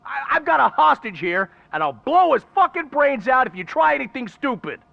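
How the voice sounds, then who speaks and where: A man's voice: extremely high pitch, very animated, normal speed, vocal fry, pushed and bright, no room sound, dull audio, exaggerated voice. A man playing a foul-mouthed gang thug who curses and threatens while holding a hostage. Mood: threatening. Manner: aggressive, cursing, threatening.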